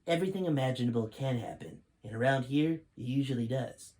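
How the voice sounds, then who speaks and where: A man's voice: medium pitch, fairly quick, a little mellow, natural voice. A man reading the part of a stern, grave male five-star general who lectures in a serious tone. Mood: lecturing.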